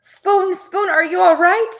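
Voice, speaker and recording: A woman voicing an excitable, dramatic male cat in a children's storybook. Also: extremely high pitch, slow pace, pitched above the performer's own voice, exaggerated voice. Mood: worried.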